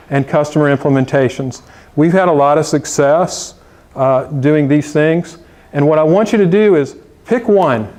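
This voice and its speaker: Emphatic higher-pitched man on stage. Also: darkish tone. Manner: emphatic, exhortative, with fillers. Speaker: conference speaker. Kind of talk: conference presentation. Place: conference room.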